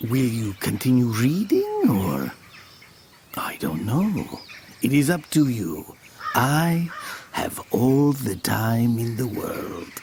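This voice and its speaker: An actor playing a brooding village man who tells of his past in a measured, theatrical audio drama. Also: mid-range voice, wildly swooping pitch, noisy background, full character voice. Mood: haunted, wistful. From southern Italy. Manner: slow, measured, theatrical, with pauses. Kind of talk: dramatic first-person audio drama narration.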